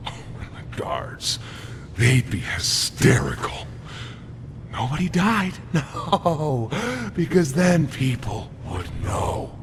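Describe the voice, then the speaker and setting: A man voicing a haunted, bitter toy creature in a horror game, with hushed, halting, breathy delivery. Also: mid-range voice, sing-song, a little hiss or hum. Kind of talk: dramatic horror game acting.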